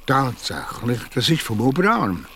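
A man's voice: medium pitch, wildly swooping pitch, unhurried, sharp tone, a little hiss or hum, exaggerated voice. A man playing a down-to-earth young boy detective in a children's radio play, casual, with a Swiss German accent. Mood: alarmed.

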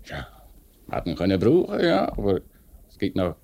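A man's voice: sits low, wildly swooping pitch, moderate pace, creaky, mellow, heavily acted. An actor with a Swiss German accent playing a stern, unbending factory foreman in a 1950s radio play, blunt and insistent.

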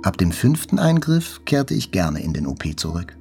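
A wounded man soberly tells of his recovery. His voice is on the low side, measured and reflective, like literary narration in a radio play, with soft music behind. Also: very animated, gravelly, heavily acted. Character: wounded male journalist soberly recounting his hospital recovery.

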